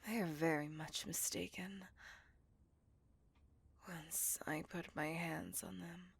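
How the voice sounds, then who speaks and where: A woman voicing an intense, possessive, centuries-old female vampire in a dramatic, breathy ASMR roleplay, often dropping into a whisper. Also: fairly low pitch, steady tone, slow, heavily acted. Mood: seething, menacing.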